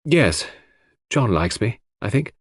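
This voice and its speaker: A man voicing a gentle young woman who speaks in a hesitant, plaintive, confiding way in an audiobook. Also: lowish voice, sing-song, slow, breathy edge, dry recording, studio quality, exaggerated voice. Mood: gloomy, plaintive.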